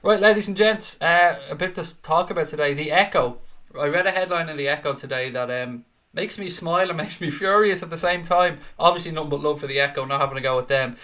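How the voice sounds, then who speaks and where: Man, Irish accent, young adult, live-stream host, chatty, casual swearing, bright forceful tone. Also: fairly high pitch, fast talker. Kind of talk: casual live-stream chat reading viewer comments.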